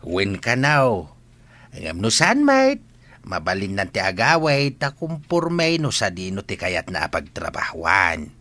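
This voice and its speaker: Man acting an expressive old village grandfather. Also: fairly low pitch, measured pace, full character voice. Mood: matter-of-fact, amiable. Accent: northern Philippine. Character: elderly village grandfather, polite and concerned. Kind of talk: village radio comedy-drama acting.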